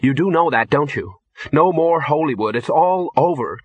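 A man voicing a young woman who speaks softly and wistfully. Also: fairly high pitch, animated, normal speed, slightly rough, dry recording. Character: young woman, quietly sad actress.

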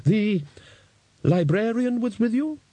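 A man's voice: high-pitched, animated, takes it slowly, mellow, no echo, pitched above the performer's own voice, full character voice. A man voicing a blunt, exasperated, thick-skinned old wizard archchancellor in an audiobook. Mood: demanding. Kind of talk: audiobook character voice.

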